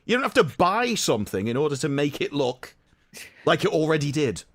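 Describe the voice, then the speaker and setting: A man's voice: on the high side, sing-song, fairly quick, no room sound. A man, by his words, co-hosting a casual livestream chat, ranting sarcastically and acting out mock quotes. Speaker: co-host.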